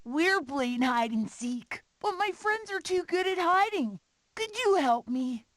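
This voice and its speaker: A sweet, earnest young cartoon animal in a game. The voice is high and childlike, taking its lines slowly, with faint noise behind. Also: female voice, lively intonation. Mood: pleading. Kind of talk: animated video game voice clips.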